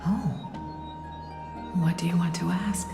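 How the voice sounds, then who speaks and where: A hushed, low-voiced gentle mother. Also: steady tone. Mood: tender, soothing. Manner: tender, slow, hushed and breathy. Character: gentle, loving mother and holy maiden soothing her child. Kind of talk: dramatic game cutscene acting. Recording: echoey long reverb under clear music.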